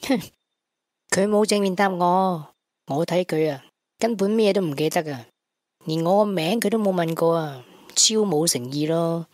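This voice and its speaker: A woman's voice: on the low side, moderate pace, a little mellow, no room sound, clean studio sound, close to an everyday voice. A woman with a Hong Kong Cantonese accent voicing an earnest teenage boy in a radio drama, who talks in a stammering, sulky way. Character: earnest teenage boy, devoted to his mother.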